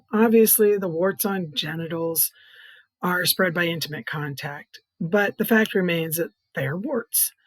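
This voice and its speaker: A middle-aged or older woman with a soft, hoarse, breathy voice, giving an earnest personal testimony in plain, explanatory words. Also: fairly low pitch, no echo. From Iowa, United States. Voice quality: soft, hoarse, breathy voice from vocal cord growths. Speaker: patient advocate, child care nurse consultant.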